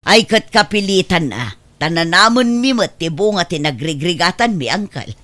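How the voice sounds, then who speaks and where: A woman's voice: lowish voice, big pitch swings, moderate pace, a bit bright, no echo. A woman with an Ilocano accent playing a demanding, materialistic middle-aged mother in an audio drama, pushy and entitled. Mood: defensive. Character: demanding, materialistic mother living off her working child.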